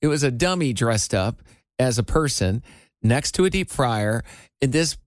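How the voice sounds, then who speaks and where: A woman co-hosting a talk show in casual, animated, conversational banter. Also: rumbling low, unhurried, no echo, clean studio sound.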